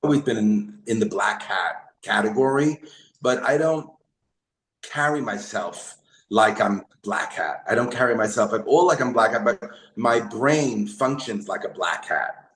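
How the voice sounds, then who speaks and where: A man who is a comedian, talking casually in an interview, halting with many restarts and fillers. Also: lowish voice, expressive, fairly bright tone, roomy sound, low-quality recording. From Brooklyn, New York. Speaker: comedian guest.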